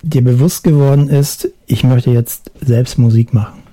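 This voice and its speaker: Calm male interviewer, very dark tone. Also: mid-range voice, moderate pace, husky. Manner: calm, brief prompts and murmured agreement. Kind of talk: interview questions.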